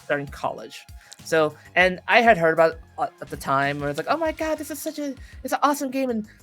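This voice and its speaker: Animated woman in her thirties, music behind. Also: lowish voice, quick pace. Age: 30s. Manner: playful, easily distracted by the game. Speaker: voice actor being interviewed. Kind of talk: casual interview chat while gaming.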